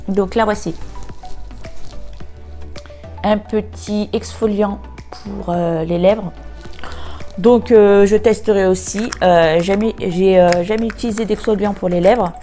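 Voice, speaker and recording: A woman vlogger chatting casually through an unboxing, nasal and congested from a cold, pausing often with hesitant filler sounds. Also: mid-range voice, steady tone, dark tone, faint music behind. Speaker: beauty vlogger.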